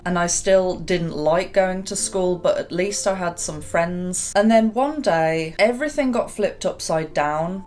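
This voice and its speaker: A young woman from the UK with a deep voice, candidly telling her own story to camera as a YouTuber. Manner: candid and reflective, with small laughs.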